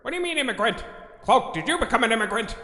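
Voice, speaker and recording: A man's voice: very high voice, very animated, fast, pushed and bright, full character voice. A man playing an exasperated villain in a comedic audio drama, fretting and scolding melodramatically like a disappointed parent, with deflated sighs. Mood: flustered. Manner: melodramatic, fussy scolding mixed with deflated sighing. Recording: echoey hall reverb, faint noise.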